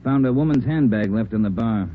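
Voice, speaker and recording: A man's voice: mid-range voice, brisk, close to an everyday voice. Clipped American police chief, played by a man, muffled. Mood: businesslike. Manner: clipped, businesslike. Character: gruff, terse male police chief briefing his detectives. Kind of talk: 1940s radio crime drama acting. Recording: muffled, noisy vintage 1940s radio recording.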